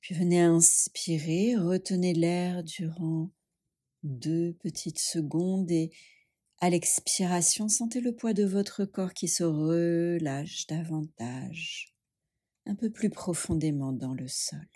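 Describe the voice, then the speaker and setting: Woman doing guided meditation, soft husky low voice, lots of pauses, soothing. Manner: soothing, gentle, with long pauses. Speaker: yoga nidra instructor.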